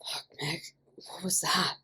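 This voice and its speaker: A woman's voice: slow pace, bright, forceful tone. A woman voicing an anxious male inmate in an audio story, urgent and fiercely worried for his partner. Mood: hushed alarm. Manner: urgent, often harsh whispering.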